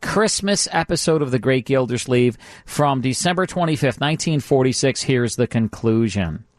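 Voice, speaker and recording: A man's voice: mid-range voice, expressive, normal speed, no room sound. Dry-sounding American male radio host. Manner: conversational, repetitive salesman's patter. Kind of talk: casual radio promotion and chat.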